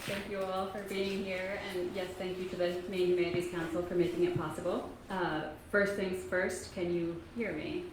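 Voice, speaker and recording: A woman from Kentucky in her thirties, an author speaking softly and haltingly to a library audience, with many pauses and ums. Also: on the low side, little pitch movement. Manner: soft-spoken, halting, frequent ums. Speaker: author giving a library talk. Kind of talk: casual talk to an audience. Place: public library meeting room. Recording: echoey room, faint noise.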